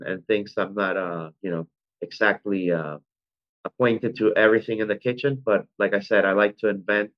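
A man in his forties from Venezuela, speaking in a fairly low voice as an interview guest. He tells his story loosely, with plenty of ums and restarts. The sound is dull and lo-fi. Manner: rambling, frequent ums and self-corrections. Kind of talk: conversational interview.

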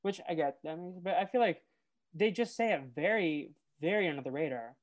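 Low-pitched nasal woman, chatty podcast co-host. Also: lively intonation, a little fast. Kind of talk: casual episode recap and chat.

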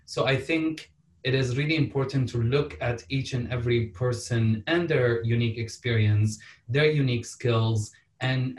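A man's voice: mid-range voice, distant mic. Syrian-born man, roomy and off mic. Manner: earnest, wry asides. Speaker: panelist. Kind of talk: panel discussion answer.

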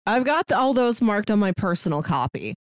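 A woman's voice: medium pitch, big pitch swings, fairly quick, dry recording, studio quality. A woman co-hosting a podcast in a casual film review chat, slipping into imagined dialogue as she explains. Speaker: podcast co-host.

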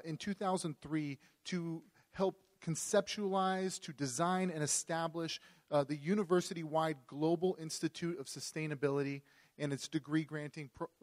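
Young man, slightly high voice. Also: darkish tone. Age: young adult. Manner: casual, joking. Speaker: student introducer. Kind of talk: speaker introduction.

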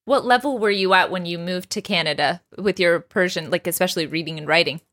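A woman's voice: lowish voice, brisk, fairly bright tone. A woman hosting a podcast, reading an upbeat introduction and then chatting and laughing easily with her guest. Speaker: podcast host. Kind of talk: scripted intro then casual interview.

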